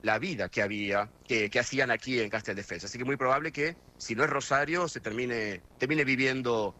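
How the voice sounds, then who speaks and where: A man's voice: mid-range voice, a little fast, pushed and bright. A middle-aged Argentine man from near Buenos Aires, a guest correspondent on a radio phone interview, talkative and full of hesitations and restarts. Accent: Argentine, Buenos Aires area.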